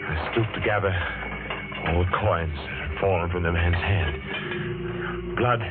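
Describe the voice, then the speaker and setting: A man's voice: sits low, slow pace. An actor playing a haunted man recounting his youth with dramatic, suspenseful delivery in 1940s radio thriller style. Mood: horrified. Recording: tinny old radio recording, loud music.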